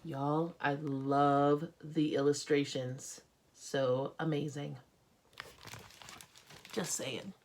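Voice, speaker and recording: A woman's voice: deep voice, level tone, very slow, pauses often, darkish tone, slight background noise. A woman with an American accent reading a storybook aloud as an art teacher, with informal, encouraging asides to children.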